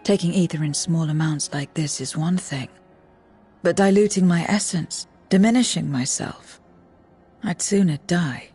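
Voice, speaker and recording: A world-weary woman from a void realm in a video game. She speaks bluntly and tersely, with a deadpan delivery. Music plays behind her. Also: on the low side, unhurried, breathy edge. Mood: flat, disdainful. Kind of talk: video game cutscene acting.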